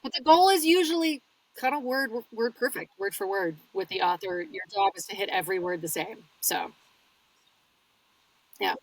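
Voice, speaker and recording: A woman's voice: medium pitch, moderate pace, recorded from a distance, poor audio. A woman audiobook narrator, talking casually and explaining her work in an interview, laughing easily. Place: home studio.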